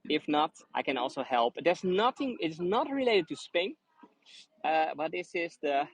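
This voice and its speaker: A man's voice: a little high, big pitch swings, normal speed, slightly rough. Dutch man giving a chatty walking tour. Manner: chatty, friendly, full of fillers and restarts. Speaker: tour guide. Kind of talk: live walking tour stream. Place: busy city streets outdoors.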